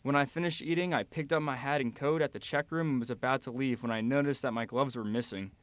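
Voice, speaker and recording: A man's voice: mid-range voice, fairly even intonation, quick pace, a little hiss or hum, lightly acted. An actor playing a wistful, proud middle-aged father in a radio drama, articulate, wry and formal. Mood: reflective, wry. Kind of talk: radio drama acting, letter narration.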